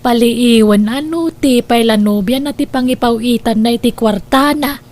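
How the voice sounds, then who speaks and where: A woman's voice: a little high, normal speed, darkish tone, lightly acted. A woman with an Ilocano accent playing an older, scheming mother in an audio drama, insistent and scolding with her grown children. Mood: resentful. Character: scheming, nagging older mother, possessive of son's money.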